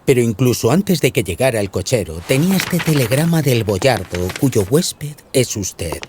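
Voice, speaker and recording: A man acts out an attentive hotel maître in an audiobook drama. He is polite and deferential, in a medium-pitched voice with faint noise behind it. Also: very animated, moderate pace, lightly acted. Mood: polite, explaining. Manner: polite, solicitous.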